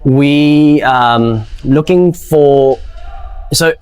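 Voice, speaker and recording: A man with a South African accent giving casual, unscripted interview answers in a hesitant, halting way, often repeating words. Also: fairly high pitch, a little mellow, clear, resonant tone, poor audio. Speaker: interviewee, amateur speedsoft player and organiser.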